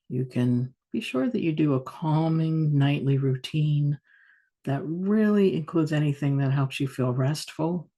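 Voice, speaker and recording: Soft husky lady doing guided yoga nidra, really mellow n soothing, slow with long pauses. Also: very deep voice. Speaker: meditation teacher.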